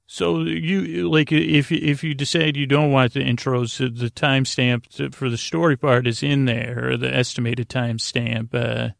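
A man with a creaky voice hosting a bedtime podcast, rambling in a halting way and often interrupting himself on tangents. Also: mid-range voice, little pitch movement, no room sound, studio quality. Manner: meandering, hesitant, halting self-interruptions. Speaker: podcast host.

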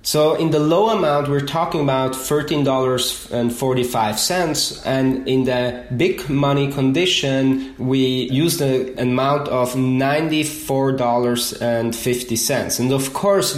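A man from Switzerland with a Swiss accent, explaining his research in measured detail as an interview guest. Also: mid-range voice, roomy sound. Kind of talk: interview answers.

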